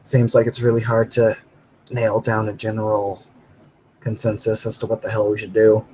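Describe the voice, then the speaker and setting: A man's voice: lowish voice, monotone, normal speed, darkish tone, a little hiss or hum, lightly acted, poor audio. A man playing an easygoing male werewolf who talks bluntly and swears casually, in his own voice.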